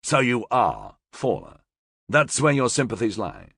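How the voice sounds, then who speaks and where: A man's voice: medium pitch, huge pitch swings, measured pace, gravelly, fairly bright tone, slightly rough, no echo, studio quality, voice pushed up, full character voice. A man voicing a cold, guarded male police captain who speaks in a curt, clipped way.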